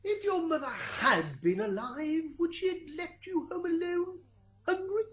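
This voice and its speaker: Woman acting a high-voiced, flustered defence lawyer. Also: animated, unhurried, a bit bright, a little hiss or hum, exaggerated voice. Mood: leading. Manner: hesitant, leading questions. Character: flustered, wheedling defence lawyer in heavenly court. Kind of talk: amateur student radio drama acting.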